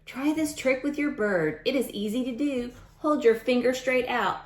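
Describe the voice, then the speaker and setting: A woman reading a picture book aloud to young children in an expressive way, adding chatty asides directly to her listeners. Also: high-pitched, moderate pace, echoey room.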